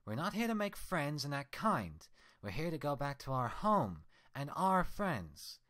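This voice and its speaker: A man's voice: on the high side, very animated, measured pace, fairly bright tone, pitched above the performer's own voice. A man voicing the sarcastic, mocking mirror reflection of a female unicorn. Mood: cold dismissive. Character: sneering mocking mirror twin of a unicorn mare.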